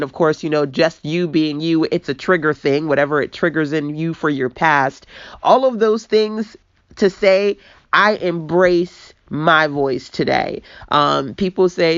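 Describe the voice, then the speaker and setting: A woman from Brooklyn with a deep, raspy voice, giving a chatty motivational talk as a business coach, laughing and quoting people as she goes. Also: no echo. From Brooklyn, New York. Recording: dull lo-fi dry recording.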